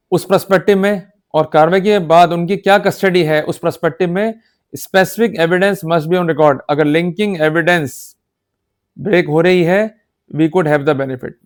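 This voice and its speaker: A man's voice: sits high, clean tone. A man with an Indian accent giving an instructional law lecture, emphatic and exhorting, often checking that listeners follow. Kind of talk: instructional law lecture in Hindi-English mix.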